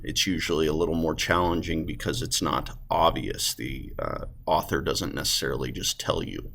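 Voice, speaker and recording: A man's voice: low-pitched, a bit bright. A man speaks as a teacher. He explains things plainly and casually, as if walking a class through a textbook lesson.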